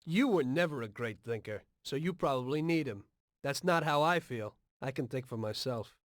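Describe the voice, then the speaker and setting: An actor playing a betrayed, principled mobster in a game cutscene, earnest and bitterly defiant. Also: male voice, medium pitch, lively intonation, moderate pace, no room sound, clean studio sound, natural voice. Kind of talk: dramatic video game cutscene acting.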